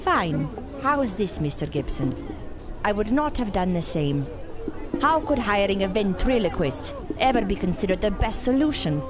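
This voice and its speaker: An actress playing a haughty carnival mystic in an audio drama, cutting and disdainful. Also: female voice, medium pitch, big pitch swings, moderate pace, natural voice. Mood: defiant, contemptuous. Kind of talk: scripted audio drama acting. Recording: music behind the voice.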